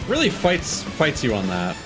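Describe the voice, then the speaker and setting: Lively-pitched man commenting on gameplay. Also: moderate pace. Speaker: game streamer. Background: game music.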